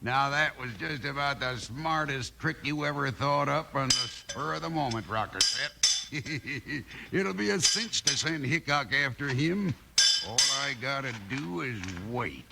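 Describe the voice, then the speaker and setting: An actor playing a sly, mean outlaw in a radio western, talking in a cagey, folksy drawl as a town blacksmith. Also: male voice, medium pitch, animated, a little slow, vocal fry. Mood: gloating. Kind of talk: 1950s radio western acting. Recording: noisy old 1950s radio transcription.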